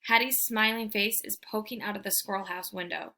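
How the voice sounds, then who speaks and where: Bright-voiced woman reading a children's story. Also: medium pitch, steady tone, fairly quick. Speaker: read-aloud storyteller. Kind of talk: expressive children's book narration.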